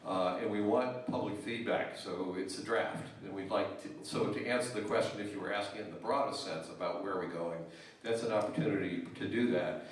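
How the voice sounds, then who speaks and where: A town selectman giving measured remarks at a public meeting, with hesitant restarts. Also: lowish voice, fairly even intonation. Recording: very echoey hall, distant mic.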